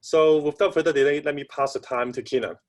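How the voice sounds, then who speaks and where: A man hosting an online session, introducing a guest speaker with polite, slightly halting remarks over a video call. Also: fairly high pitch, fairly even intonation. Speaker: event host.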